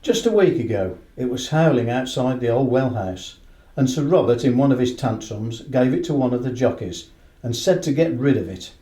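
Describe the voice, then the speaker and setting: An actor playing an earnest, worried horse trainer in a radio play, plain-spoken and respectful. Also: male voice, mid-range voice, animated, normal speed, dark tone, close to an everyday voice. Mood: earnest, uneasy. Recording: roomy, echoing radio play recording.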